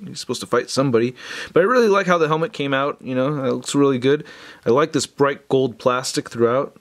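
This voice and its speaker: A man hosting a casual, unscripted review video, talking in a chatty, informal, slangy way. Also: medium pitch. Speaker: toy review video host.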